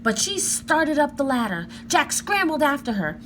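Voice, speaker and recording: A woman reads a children's story in a fairly high, very expressive voice. Her phrasing is careful and dramatic, with faint noise in the background. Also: normal speed, poor audio. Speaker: storybook reader. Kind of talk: expressive children's book narration.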